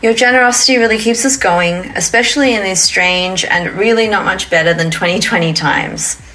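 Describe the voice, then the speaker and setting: A woman's voice: medium pitch, normal speed, bright, forceful tone, low-quality recording. A woman with an Australian accent hosting a podcast, reading an intro and asking guests follow-up questions. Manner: friendly, asks follow-up questions. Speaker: podcast host.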